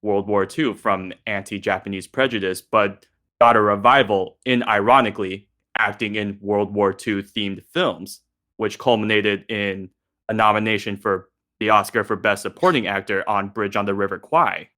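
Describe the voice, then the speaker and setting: Male panelist, crisp bright timbre, lowish pitch, measured and explanatory. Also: steady tone. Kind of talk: panel discussion.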